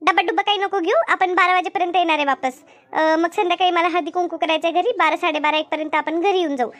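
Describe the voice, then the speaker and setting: Woman acting a very high-pitched chatty village woman. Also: steady tone, fast talker, fairly bright tone, no room sound. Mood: friendly, directing. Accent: rural Maharashtrian Marathi. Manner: chatty, repetitive, rustic. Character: chatty village woman, bossy yet easily hurt, Marathi cartoon. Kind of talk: cartoon comedy dialogue.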